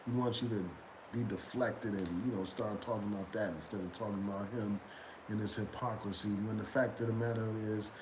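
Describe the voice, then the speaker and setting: A man with a cold, sniffling as he comments loosely to his viewers, with lots of "um" and "man.". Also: on the low side. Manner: loose, conversational, fillers like "man" and "um". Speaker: YouTube commentator reading chat. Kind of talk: casual live-stream commentary. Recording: echoey room with background noise.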